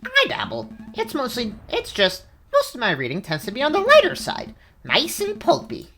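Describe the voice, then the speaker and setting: Man putting on a small sparrow sidekick, high sharp voice, wildly bouncy pitch, brash, wisecracking, video game character. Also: wildly swooping pitch, normal speed, heavily acted. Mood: flippant. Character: cheeky, flippant, small male sparrow assistant sidekick.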